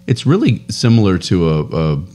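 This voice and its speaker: A man in his thirties, a podcast co-host, chatting casually and telling a rambling story with frequent laughs, on a boom mic in a home studio. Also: huge pitch swings, moderate pace, mellow. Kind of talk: casual chat. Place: home studio room, indoors. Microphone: boom studio mic with headphones.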